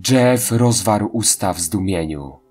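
A man's voice: fairly low pitch, lively intonation, takes it slowly, a little mellow. A man reading scripted horror narration in a dramatic storytelling style. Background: faint music.

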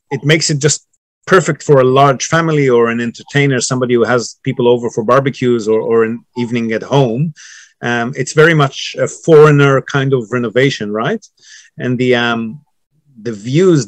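A man in his fifties hosting a casual interview on a video call, friendly and quick with short affirmations. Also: medium pitch, dry recording. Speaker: interviewer, real estate host. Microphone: laptop webcam on video call.

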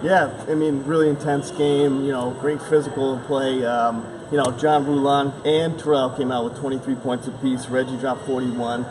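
A man's voice: mid-range voice, level tone, moderate pace, hardly any pauses, distant mic. A young man with a medium voice gives casual sports commentary. He sounds a bit away from the mic in a noisy gym. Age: young adult. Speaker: sports show panelist. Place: large indoor basketball gym.

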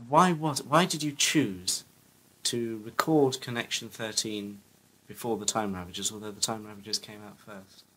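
A man's voice: on the low side, a little slow, bright, forceful tone, breathy edge, low-quality recording. A man interviewing, putting his questions plainly.

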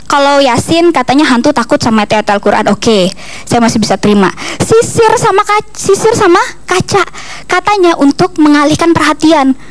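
A woman's voice: sits high, normal speed, poor audio. A young woman from East Kalimantan, Indonesia, performing a stand-up comedy routine into a handheld microphone on a stage. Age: young adult. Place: TV comedy studio stage with audience. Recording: audience ambience noise behind stage mic.

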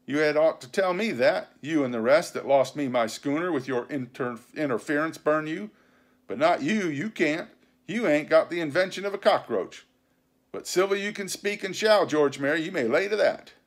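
A man's voice: fairly high pitch, lively intonation, moderate pace, voice pushed up. Man acting a blustering, wily old pirate sea cook. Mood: threatening, furious. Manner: scornful, rustic seafaring dialect.

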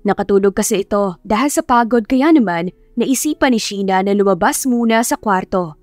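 A woman narrating a romance audiobook in a steady, measured storytelling read. Her voice is mid-pitched and close, with dry sound and faint music underneath. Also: dry recording.

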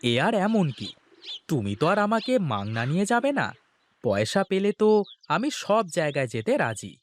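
A man voicing a humble, sentimental village boatman with colloquial rural banter in an animated folk tale. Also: on the high side, moderate pace, slight background noise. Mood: cheerful, busy. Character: cheerful humble village boatman, doting husband.

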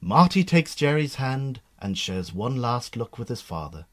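Male narrator, Northern Irish accent, medium pitch, scripted radio play storytelling. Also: very animated, measured pace, fairly bright tone.

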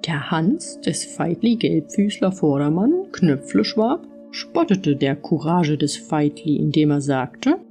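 A woman narrating an audiobook fairy tale in an expressive storytelling style. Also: low voice, slow, few pauses, soft background music.

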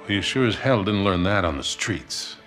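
An actor playing a gruff, wry middle-aged American crook in a naturalistic video game scene, with a folksy, unhurried manner. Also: male voice, sits low, expressive, fairly bright tone, soft background music. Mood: curious, bantering.

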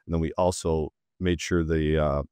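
A man in his thirties hosting a podcast, chatting in a relaxed, agreeable way with a guest over a video call from a dark home studio. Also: very deep voice, normal speed, clean tone, no room sound. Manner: relaxed, agreeable, brief interjections. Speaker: podcast host. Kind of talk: casual interview chat.

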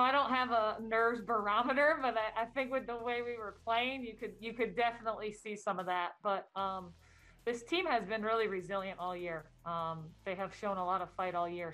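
A woman's voice: medium pitch, a bit bright, low-quality recording. A woman head coach answering questions at a post-game press conference, speaking thoughtfully with frequent ums and restarts.

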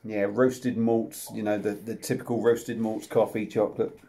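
A man's voice: fairly low pitch, little pitch movement, moderate pace, dark tone, slight background noise. A man, casually reviewing a beer to camera at home, chatty and self-deprecating. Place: small room at home, indoors. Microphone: camera close up at desk level.

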